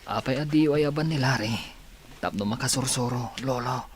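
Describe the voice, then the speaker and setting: A man's voice: mid-range voice, moderate pace, slightly rough, lightly acted. A man playing a weary young hospital patient and respectful grandson in an Ilocano radio drama, with an Ilocano accent.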